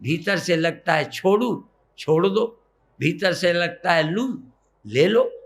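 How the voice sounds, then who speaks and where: A man guides a breathing meditation in the way of a spiritual teacher. His voice sits a little high for a man and has a marked gravelly creak. He speaks very slowly, coaxing gently and repeating short phrases. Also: animated.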